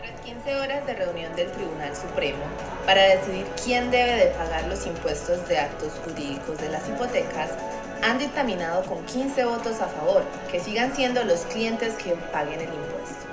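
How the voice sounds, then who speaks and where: A woman acts as a formal radio newsreader. Her medium-pitched voice is echoey, and loud music plays behind the scripted bulletin. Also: lively intonation, normal speed, close to an everyday voice. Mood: neutral. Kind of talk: scripted news reading.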